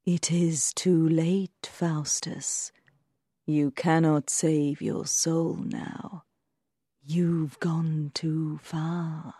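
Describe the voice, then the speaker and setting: A woman's voice: low voice, level tone, extremely slow, dark tone, breathy edge, dry recording, studio quality, full character voice. A woman voicing a cold, threatening bad angel in a storybook, with a whispery voice. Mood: sinister whisper.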